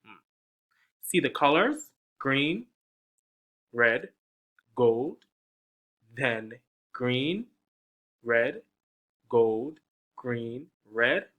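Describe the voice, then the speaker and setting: Man, children's story reader, lively up-and-down pitch, bright voice, unhurried. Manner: slow, sing-song, coaxing questions to young listeners. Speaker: teacher reading aloud. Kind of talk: children's picture book read-aloud.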